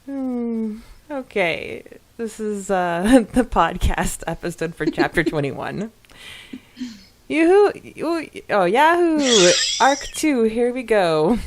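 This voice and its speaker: A woman's voice: a little high, sing-song, takes it slowly, a little hiss or hum. A woman co-hosting a podcast, giggly, reading out listener comments in a casual chatty style. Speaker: podcast co-host.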